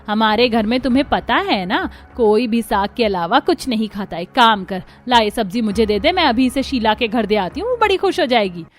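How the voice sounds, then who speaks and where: A woman's voice: a little high, lively intonation, normal speed, hardly any pauses. A woman playing a bossy, stubborn and proud mother-in-law in a cartoon story, with a scolding manner. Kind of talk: Hindi cartoon story acting.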